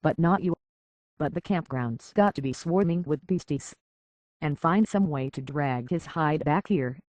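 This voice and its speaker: A man's voice: a little high, measured pace, a little mellow, no room sound, close to an everyday voice. An actor playing a plain-spoken, folksy island barkeep in a video game, blunt and concerned for his own people. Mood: earnest, worried. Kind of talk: video game character dialogue.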